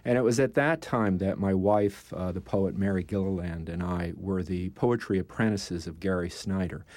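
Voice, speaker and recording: An older man, a poet, talking in a measured, thoughtful way as an interview guest, pausing often with "uh". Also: deep voice, a little mellow, no echo. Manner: thoughtful, measured, with frequent "uh" pauses. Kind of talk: conversational interview.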